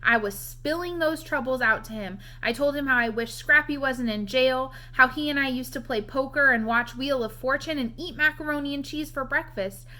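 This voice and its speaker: A woman reading a children's novel aloud as an expressive storyteller. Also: sits high, moderate pace, few pauses. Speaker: read-aloud reader.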